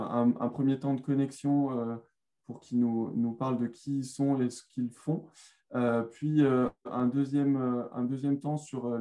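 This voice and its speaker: A man's voice: medium pitch, dark tone. A man hosting and moderating a webinar over a video call, speaking hesitantly with many fillers. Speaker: webinar host.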